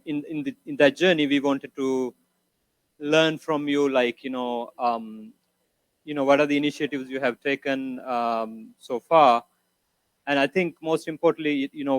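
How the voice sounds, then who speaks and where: A man with a Sri Lankan accent moderating a conference panel in a halting way, often saying "you know". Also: medium pitch, no echo, low-quality recording.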